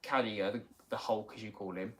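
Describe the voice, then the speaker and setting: A man's voice: lowish voice, fairly even intonation, normal speed, fairly bright tone. Guy hosting a live stream chat, rambly, lots of ums, reads out comments. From United Kingdom. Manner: chatty, rambling, many fillers and restarts. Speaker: livestream host. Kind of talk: casual chat, reading viewer comments.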